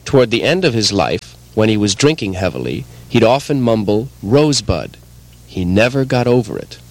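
A man's voice: on the low side, sing-song, moderate pace. A man in a radio comedy troupe telling an anecdote in an earnest, storytelling way.

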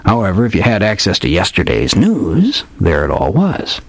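A man's voice: sits low, huge pitch swings, normal speed, vocal fry, no room sound, full character voice. A man reading a story aloud, voicing an old male hardboiled detective with theatrical tough-guy slang. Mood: smug.

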